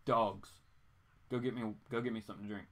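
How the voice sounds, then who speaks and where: A man's voice: fairly low pitch, fairly even intonation, fairly quick. Casual, rambling man hosting a stream. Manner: informal, playful asides, swings between exclaiming and muttering. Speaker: live stream host. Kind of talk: casual rambling chat, reading viewer comments.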